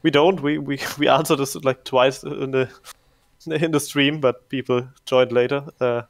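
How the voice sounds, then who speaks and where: A man's voice: mid-range voice, steady tone, a little slow. A middle-aged man on a casual livestream Q&A, answering viewer questions as community manager, repeating words and chuckling often. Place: home room, indoors. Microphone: headset.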